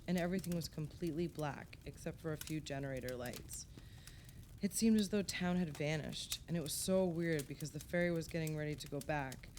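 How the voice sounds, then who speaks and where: An actress playing an affectionate, mildly skeptical woman, gentle and reflective, in conversational radio play dialogue. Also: low voice, slight background noise, lightly acted.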